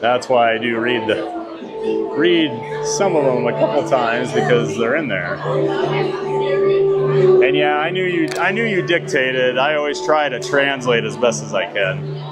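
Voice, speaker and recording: A man's voice: mid-range voice, expressive, measured pace. A man live-streaming from an outdoor theme park on a phone, chatting and rambling as he reads viewer comments aloud. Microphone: phone streaming rig. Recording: loud park music behind. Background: loud theme park music.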